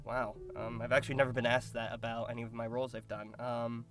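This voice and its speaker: A man with a medium-pitched voice gives casual interview answers about his acting. He is thoughtful and hesitant, often pausing for the right word. Guitar music is clearly audible behind him. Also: flat delivery. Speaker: voice actor being interviewed.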